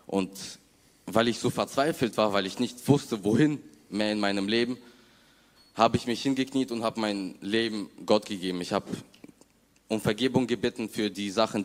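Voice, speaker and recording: Low-voiced young man in an echoing hall. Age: young adult. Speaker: church member giving personal testimony. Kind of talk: personal testimony, spoken unscripted. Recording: long hall reverb.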